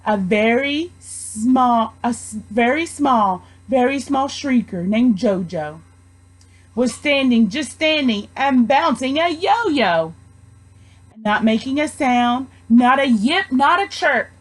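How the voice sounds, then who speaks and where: A woman's voice: high voice, animated, slow pace, low-quality recording. A young woman reading a rhyming children's story aloud expressively. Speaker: storybook reader, education student.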